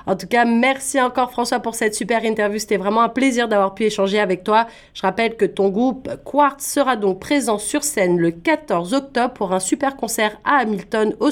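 Woman radio interviewer with a French accent. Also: fairly high pitch. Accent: French from France. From France.

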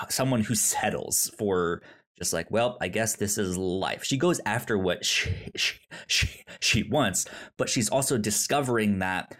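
A podcast co-host casually discussing films in a halting way, often repeating words while thinking aloud. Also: low-pitched, fairly bright tone.

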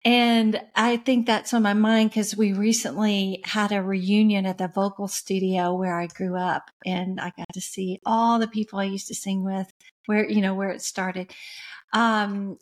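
Woman, middle-aged, soft voice, slow, flat, interview guest. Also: medium pitch. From West Tennessee. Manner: unhurried, with pauses, fillers and restarts. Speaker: interview guest, music industry coach. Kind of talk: reflective conversational storytelling.

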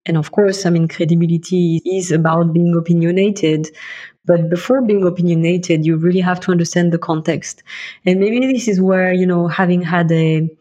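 Woman, middle-aged, from Bulgaria, low voice, reflective, measured, interview guest. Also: steady tone, dark tone. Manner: reflective, measured, enumerates points. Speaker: guest, investment partner. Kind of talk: interview answers.

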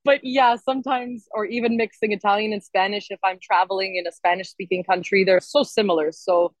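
A woman's voice: mid-range voice, a little fast, no echo, low-quality recording. A woman singer-songwriter chatting casually and enthusiastically as an interview guest. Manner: enthusiastic, expressive.

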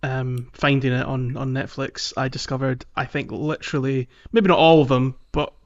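Male podcaster, average pitch, halting conversational delivery, somewhat muffled recording. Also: steady tone. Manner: hesitant, halting. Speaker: podcast co-host. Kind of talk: casual podcast discussion.

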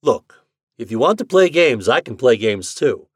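A man voicing a determined male propagandist writer who talks in a forceful, snapping way. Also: medium pitch, sing-song, normal speed, husky, no room sound, clean studio sound, pitched above the performer's own voice. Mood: insistent.

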